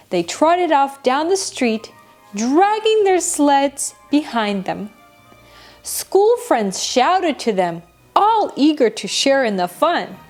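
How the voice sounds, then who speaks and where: Lively, slow-paced woman storyteller. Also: sits high. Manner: animated, deliberate storybook delivery. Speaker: storyteller, children's show host. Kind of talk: expressive children's bedtime story narration. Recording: music behind the voice. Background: background music.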